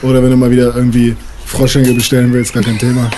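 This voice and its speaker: A man's voice: mid-range voice, completely flat delivery, fast, mellow, a little hiss or hum, close to an everyday voice. A man playing a surly, crude, beer-drinking stepson, with a blunt and sneering way of talking. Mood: bargaining, blunt.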